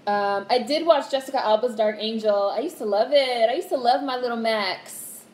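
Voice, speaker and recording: A young woman in her twenties hosting a casual livestream, talking in a monotone way, candid and swearing casually as she reads out comments. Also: fairly high pitch, normal speed. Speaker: livestream host. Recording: roomy echo with faint background hiss.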